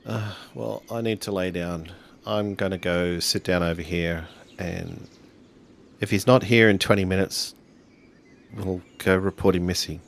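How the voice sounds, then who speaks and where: A man improvising in a casual tabletop role-playing game, speaking as his character and narrating in a weary, halting way. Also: low-pitched, steady tone, creaky.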